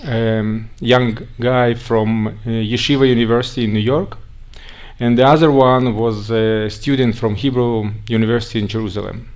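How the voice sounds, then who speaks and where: A man with a Russian accent, raised in Siberia, giving a conference talk in a halting way with frequent restarts. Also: medium pitch, fairly even intonation, a little mellow. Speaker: guest speaker.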